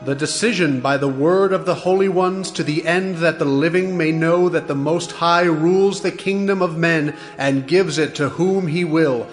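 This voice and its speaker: Man acting stern angelic watcher, highish voice. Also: normal speed, few pauses, soft background music, lightly acted. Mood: stern proclamation. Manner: forceful, solemn, proclaiming. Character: stern heavenly watcher angel proclaiming divine judgment. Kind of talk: dramatized audio scripture acting.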